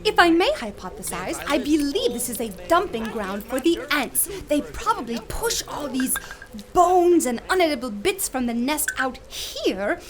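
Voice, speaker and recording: A woman's voice: sits high, sing-song, moderate pace, noisy background. A woman voicing an eccentric naturalist who talks in a prim, wordy, oddly cheerful way. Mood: lecturing. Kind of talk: audio drama acting.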